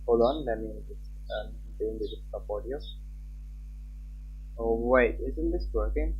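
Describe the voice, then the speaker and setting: A man's voice: medium pitch, unhurried, husky. A young man live-streaming a game, chatting casually and reading on-screen text aloud. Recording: dull lo-fi mic with background music. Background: game music.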